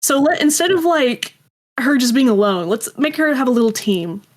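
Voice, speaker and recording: High-voiced woman, chatty and self-deprecating. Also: moderate pace. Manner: animated storytelling, self-deprecating, acts out quoted exchanges. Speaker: guest, audio drama creator. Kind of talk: casual interview chat.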